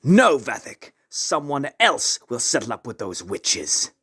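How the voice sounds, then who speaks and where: A man's voice: medium pitch, sing-song, unhurried, a bit bright, slightly rough, heavily acted. A man voicing an arrogant, scheming villain lord in a comic fan dub, haughty and theatrical. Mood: scornful, scheming.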